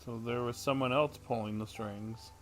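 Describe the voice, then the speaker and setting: A man's voice: medium pitch, fairly even intonation, moderate pace, faint music behind. A man livestreaming a video game, reacting and commenting casually as the story unfolds.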